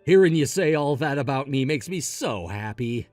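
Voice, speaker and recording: A man's voice: mid-range voice, expressive, moderate pace, a little mellow, dry recording, soft background music, natural voice. A man playing an earnest, sentimental family friend in a melodramatic audio story, speaking with heartfelt sincerity. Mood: earnest, hopeful.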